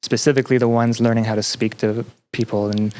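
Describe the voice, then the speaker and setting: A man's voice: mid-range voice, little pitch movement, fast talker, a little mellow. A young man chatting as a podcast guest, reflective and self-deprecating, often feeling his way through a thought. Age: young adult. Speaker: podcast guest, student representative. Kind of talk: casual interview chat.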